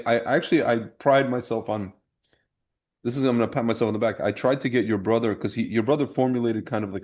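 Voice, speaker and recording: A man's voice: mid-range voice, a little mellow. A young man hosting a podcast, talking in a loose, rambling way with many restarts. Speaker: podcast host. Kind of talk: casual chat.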